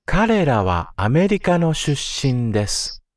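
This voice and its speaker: A man's voice: medium pitch, very animated, normal speed, no room sound, clean studio sound. A man reading example sentences for a language course with careful, precise enunciation. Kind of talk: slow, clearly read example sentences.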